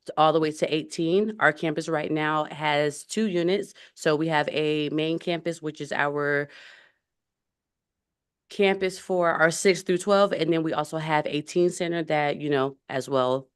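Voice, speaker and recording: A woman program manager speaking hesitantly, with many pauses, at a city commission meeting. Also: low-pitched, clean tone. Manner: hesitant, filler-heavy.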